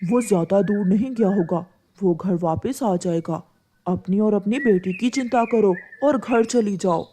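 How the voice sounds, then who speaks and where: A woman's voice: mid-range voice, measured pace, warm tone, slight background noise, lightly acted. A woman voicing a helpful, concerned male pigeon in a cartoon, earnest and full of advice.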